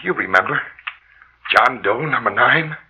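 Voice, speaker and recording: An actor playing a haunted, obsessed morgue worker, brooding and intense in halting 1940s radio drama style. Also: male voice, mid-range voice, expressive, a little hiss or hum, natural voice. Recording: dull lo-fi old radio recording.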